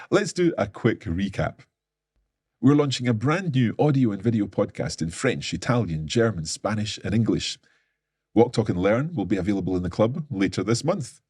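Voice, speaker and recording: A man delivers a scripted announcement, his voice low and slightly husky. He sounds upbeat and eager throughout, and the recording is close and dry with no room sound. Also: a little mellow, no echo. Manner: upbeat, enthusiastic presenter. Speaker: company presenter.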